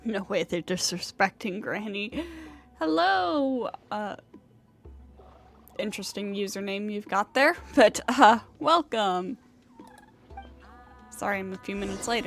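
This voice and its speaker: Chill girl streamer, playful, slow n fry-heavy, faint game music behind. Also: mid-range voice, lively intonation. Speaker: video game live streamer. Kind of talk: casual livestream chat and reading game dialogue aloud.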